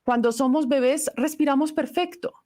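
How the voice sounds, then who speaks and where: A middle-aged woman whose first language is Italian, giving a live online voice lesson in an unhurried, reflective teaching manner. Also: high-pitched, a little mellow.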